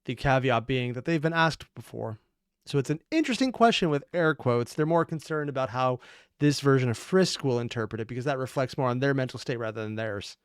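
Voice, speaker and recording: A man's voice: medium pitch, animated, a little fast, smooth. A man giving casual, off-the-cuff commentary as a reaction host, analytical and prone to rambling asides and correcting himself.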